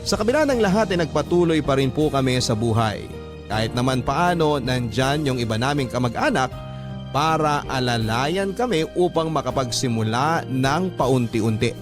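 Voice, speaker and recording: A man's voice: mid-range voice, animated, moderate pace. A man reading out a life story as a radio host, in dramatic scripted narration. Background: music under the voice.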